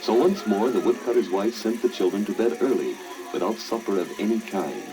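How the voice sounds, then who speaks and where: Very deep male storybook narrator. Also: lively intonation, brisk, rough recording. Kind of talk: scripted children's storybook narration. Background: music.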